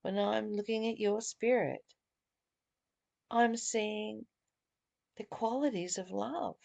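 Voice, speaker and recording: A woman's voice: mid-range voice, slow pace, creaky, a little mellow. A woman reading aloud from a spiritual study text and adding her own earnest, reflective commentary, sometimes stumbling and repeating phrases. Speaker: spiritual teacher leading a book study.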